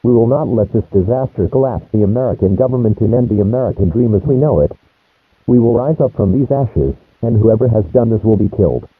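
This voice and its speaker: A man's voice: lowish voice, expressive, brisk, slightly rough, no room sound, soft background music. A man playing a solemn government announcer, formally reading out a scripted emergency broadcast. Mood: solemn, official. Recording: muffled phone-like emergency broadcast audio.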